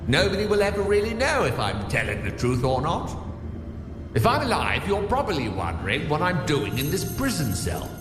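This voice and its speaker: An actor playing a chained prisoner who narrates an audio drama, teasing and confiding in the listener in a wry, cryptic way. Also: male voice, on the high side, huge pitch swings, moderate pace. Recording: echoing, long reverb, music underneath.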